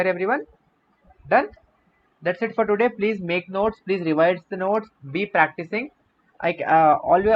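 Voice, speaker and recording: A young man, teaching an online coding class and often repeating and rephrasing his points. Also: fairly high pitch. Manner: explanatory, repeats and rephrases himself. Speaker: coding bootcamp instructor. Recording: slightly dull audio with faint noise.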